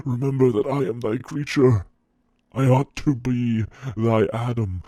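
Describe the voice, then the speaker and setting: A man performing a dramatic monologue as a wretched, bitter monster pleading with his creator, solemn and theatrical with halting pauses. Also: fairly low pitch, mellow, no room sound.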